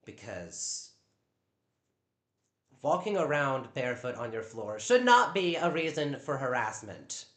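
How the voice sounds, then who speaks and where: A man with a naturally loud voice talking on a live stream at home, defensive and stammering over repeated words. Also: medium pitch, sing-song, measured pace, long pauses. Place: at home in a downstairs room. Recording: echoey room.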